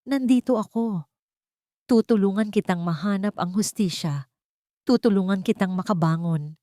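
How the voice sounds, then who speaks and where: A protective, secretive man, voiced by a woman narrator, speaks with calm, serious reassurance. The audiobook dialogue is earnest and sounds dry and close. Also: medium pitch, normal speed, dry recording, close to an everyday voice. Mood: comforting.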